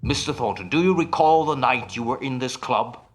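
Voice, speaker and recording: An actor playing a self-assured nightclub mind reader in an old-time radio mystery, with a formal, emphatic, measured delivery. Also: male voice, medium pitch, natural voice. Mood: grave, confident.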